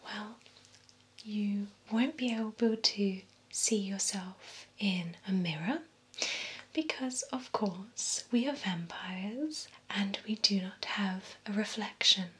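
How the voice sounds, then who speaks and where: A young woman in her twenties whispers softly and attentively up close, role-playing a courteous vampire salon attendant in ASMR style. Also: mid-range voice. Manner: soft, unhurried, attentive whisper. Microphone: close binaural microphone. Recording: close binaural whisper with faint hiss.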